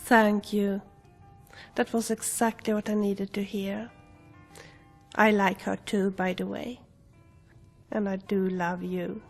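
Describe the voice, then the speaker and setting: A woman voicing an affectionate, jealous adventurer companion in a fantasy game, with a creaky voice. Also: medium pitch, flat delivery, takes it slowly, pauses often, soft background music.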